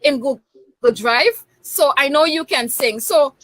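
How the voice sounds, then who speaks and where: A woman's voice: high-pitched, unhurried, a bit bright, no room sound, rough recording. A woman hosting a talk show, chatty and laughing often. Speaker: host.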